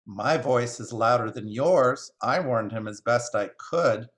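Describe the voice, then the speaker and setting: A man's voice: medium pitch, measured pace, a bit bright. A man voicing a grumpy, bitter crow who speaks seriously and fatalistically in a storybook reading. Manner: bitter, grave.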